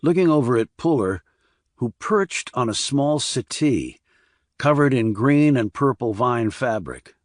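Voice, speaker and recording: A man's voice: mid-range voice, lively intonation, measured pace, no room sound, clean studio sound. A man reading a crime thriller audiobook as scripted narration.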